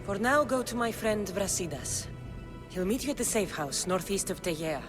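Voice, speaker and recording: A woman's voice: mid-range voice, expressive, moderate pace, close to an everyday voice. A woman voicing a determined young female mercenary of ancient Greece in a video game, speaking firmly and bluntly. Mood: resolute. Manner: firm, blunt. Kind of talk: dramatic video game dialogue. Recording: game soundtrack music behind the voice. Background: music.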